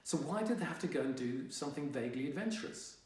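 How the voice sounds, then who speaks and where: A man's voice: on the high side, lively intonation, fast talker, a little mellow, recorded from a distance, close to an everyday voice. Sullen teen boy narrator played by a man, wry and indignant, young adult audiobook, very echoey room. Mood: wry, uneasy. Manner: wry, dramatic, exasperated teenage grumbling. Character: sullen, indignant fifteen-year-old boy telling his story. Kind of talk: first-person young adult audiobook reading.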